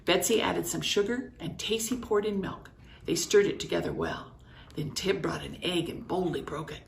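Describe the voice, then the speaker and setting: A woman with a low, deeply gravelly voice reads a storybook aloud at a steady, matter-of-fact pace. The room echoes around her, with a faint hum behind. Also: moderate pace. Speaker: guest reader. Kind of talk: read-aloud storybook narration.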